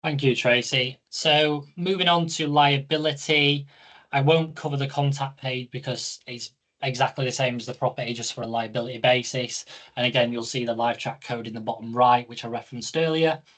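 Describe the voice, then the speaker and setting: Flat-toned man presenting a webinar. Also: medium pitch. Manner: brisk, businesslike, moving through slides.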